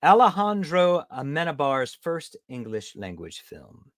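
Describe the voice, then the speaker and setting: A man's voice: on the high side, sing-song, unhurried, no echo, clean studio sound. A man hosting a podcast in a casual, bantering style, teasing and joking with his guest. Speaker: podcast host. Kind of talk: casual chat, film quiz banter.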